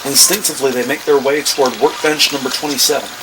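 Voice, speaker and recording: Man as police drama narrator, medium pitch, measured, factual, descriptive, clear ambient noise behind. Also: fairly even intonation, lightly acted. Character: matter-of-fact male narrator of a police procedural. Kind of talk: scripted audio drama narration, police procedural.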